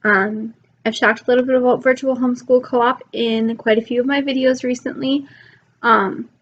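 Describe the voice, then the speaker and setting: A woman's voice: fairly high pitch, fairly even intonation, moderate pace, lo-fi sound. A young mom vlogging from her laptop, chatty and rambling with lots of ums, her voice a bit worn from being sick. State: recovering from illness, losing her voice. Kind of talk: casual talk to camera. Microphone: laptop mic.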